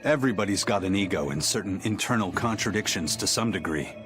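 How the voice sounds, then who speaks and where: Deep-voiced, stoic warrior. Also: male voice, expressive, quick pace, music in the background. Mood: solemn. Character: stoic, principled, philosophical male warrior.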